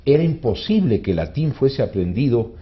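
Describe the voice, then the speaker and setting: A man's voice: medium pitch, very animated, normal speed, dull audio. A man with an Ecuadorian accent hosting a radio interview, speaking in an emphatic, rhetorical, opinionated way. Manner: emphatic, rhetorical, repeats questions for effect. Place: radio studio.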